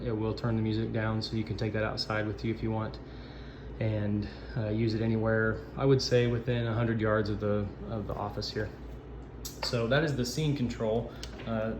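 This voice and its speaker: A man giving an informal instructional walkthrough of equipment, explaining in a halting, improvised way. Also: lowish voice. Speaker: sound system installer giving a walkthrough. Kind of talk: informal instructional demo narration. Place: indoor camp office. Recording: echoey room, noisy ambient background.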